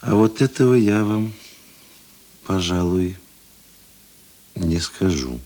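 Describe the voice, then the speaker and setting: A man acting a middle-aged, thoughtful and kind police investigator in a Russian radio play, husky and measured. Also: deep voice, animated, mellow. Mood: quiet, guarded. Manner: measured, self-deprecating, reflective.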